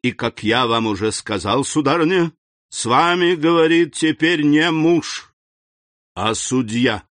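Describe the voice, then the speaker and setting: A man's voice: lowish voice, no echo. Man voicing Villefort, an icy stern prosecutor. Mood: fierce accusing. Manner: icy, deliberate, accusing. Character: stern cold middle-aged male royal prosecutor.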